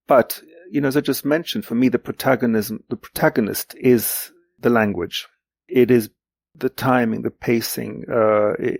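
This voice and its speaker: A man in his sixties whose mother tongue is German, speaking reflectively and haltingly as an interview guest, often repeating words for emphasis. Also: medium pitch, darkish tone, no echo. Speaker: guest, literary translator. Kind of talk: reflective podcast interview talk.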